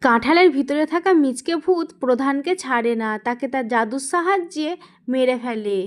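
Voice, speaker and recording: A woman's voice: high voice, normal speed. A woman narrating a scripted cartoon story for children.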